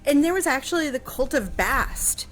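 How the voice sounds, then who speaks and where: A woman explaining things conversationally on a radio show, thoughtful and pausing mid-phrase as she goes. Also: high voice, animated, fairly bright tone. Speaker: radio guest answering history questions.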